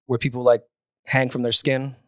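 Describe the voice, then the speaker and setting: Dude on a podcast, casual dry jokey banter, normal pitch, tight dry mic. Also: male voice, flat delivery, moderate pace, dry recording. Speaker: podcast host.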